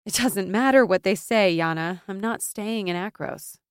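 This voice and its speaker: A woman's voice: mid-range voice, moderate pace, clear, resonant tone, no room sound, studio quality, close to an everyday voice. A woman voicing a proud, bitter exiled warrior noblewoman in a fantasy audiobook, firm and wry.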